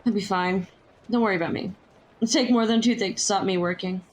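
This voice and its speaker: An actress playing a plucky, streetwise young Victorian match girl in a historical audio drama, brisk, slangy and teasing. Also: mid-range voice, a little hiss or hum, lightly acted. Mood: friendly, matter-of-fact.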